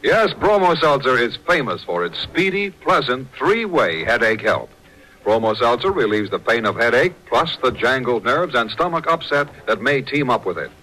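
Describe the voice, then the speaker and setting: A man's voice: mid-range voice, sing-song, normal speed, bright, forceful tone, low-quality recording. A man announcing a commercial in 1940s radio style, with polished, emphatic salesmanship.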